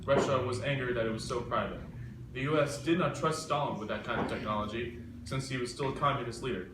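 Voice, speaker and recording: A young man reads a scripted report in a mid-pitched voice. He is back from the microphone in an echoey room, with noise clearly audible. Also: fast. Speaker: news correspondent. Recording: echoey, noisy room, distant mic.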